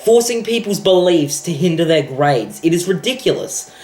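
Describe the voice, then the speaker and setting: A man's voice: on the high side, animated, moderate pace, darkish tone. A young man ranting about a film in a sarcastic, profane, exasperated way. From Australia. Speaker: vlogger. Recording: echoey room.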